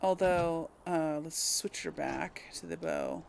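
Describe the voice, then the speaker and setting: Creaky-voiced woman gamer thinking aloud. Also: low-pitched, very animated, slow, darkish tone, a little hiss or hum. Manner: hesitant, thinking aloud as she plays. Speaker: host of a gaming let's play. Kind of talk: casual let's play commentary to viewers.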